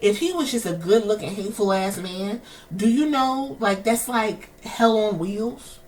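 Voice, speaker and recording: A woman's voice: medium pitch, unhurried, darkish tone, far from the mic, low-quality recording. A woman with a New York accent, hosting a podcast and ranting in heated, profane commentary. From New York City. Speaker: podcast host.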